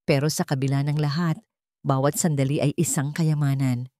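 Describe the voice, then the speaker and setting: A woman's voice: low voice, steady tone, moderate pace, mellow, no room sound, studio quality. A woman with a Filipino accent reading a scripted dramatic story as a storyteller.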